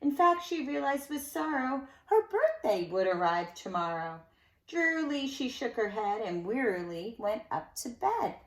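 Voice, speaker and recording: A woman reading a picture book aloud to young children in an expressive storytelling style, with dramatic emphasis and conversational asides. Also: on the high side, a little slow. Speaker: nursery school teacher reading a storybook aloud. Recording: echoey room sound.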